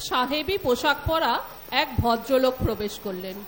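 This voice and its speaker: A woman's voice: high-pitched, lively intonation, moderate pace, a bit bright. A woman reading scripted narration for an audio drama. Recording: live hall, audience murmur, faint noise.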